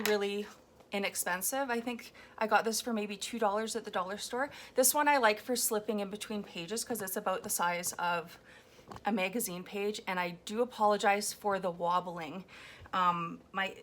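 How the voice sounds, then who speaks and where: A woman with a slightly hoarse, raw voice chatting casually about her collage process at home, rambling with frequent ums. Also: mid-range voice, fairly even intonation, normal speed, a bit bright. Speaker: collage artist. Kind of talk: casual commentary while making a collage. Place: home, indoors at a table. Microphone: overhead camera on a floor mount.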